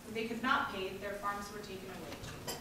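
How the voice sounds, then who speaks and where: A woman's voice: mid-range voice, normal speed. A woman narrating at an event, reading a scripted historical introduction aloud. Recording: very echoey hall, distant, noisy.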